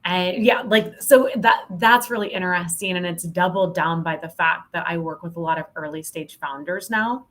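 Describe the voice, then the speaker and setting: A woman's voice: on the low side, moderate pace, hardly any pauses, a bit bright. A middle-aged woman speaking candidly and emphatically as a guest in a conversational podcast interview, with a wry edge. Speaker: podcast guest, sales trainer.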